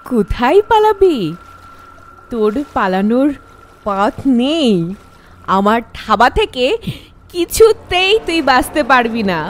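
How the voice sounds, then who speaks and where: Horror cartoon ghost: murdered young woman out for revenge, harsh, very high, swinging wildly in pitch. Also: big pitch swings. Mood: menacing. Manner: menacing, drawn-out threats.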